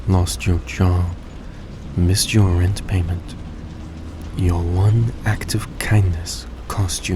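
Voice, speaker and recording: A man playing a suave, tender centuries-old vampire in a roleplay audio drama, speaking in a soft, coaxing, genteel way to the listener. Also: low-pitched. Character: suave, gracious centuries-old male vampire, young-looking, tender and grateful. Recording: steady background noise, vehicle-like ambience.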